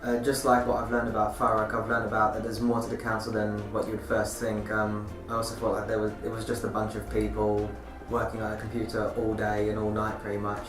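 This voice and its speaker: A nineteen-year-old man, a youth work apprentice, answering interview questions hesitantly with many pauses. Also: fairly low pitch, completely flat delivery. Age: young adult. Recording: roomy, mic far off, music behind.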